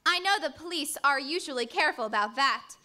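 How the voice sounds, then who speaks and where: A woman's voice: high voice, lively intonation, fairly quick, sharp tone. A woman acting an earnest, inquisitive companion to a crime fighter in an old-time radio drama. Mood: earnest, curious. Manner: theatrical, earnest.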